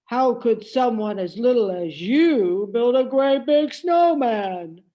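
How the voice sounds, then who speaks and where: A woman's voice: fairly high pitch, animated, slow pace, lo-fi sound. A woman voicing a big, blustery, overbearing older female relative in a children's storybook. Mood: blustery, scolding. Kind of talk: children's storybook read-aloud.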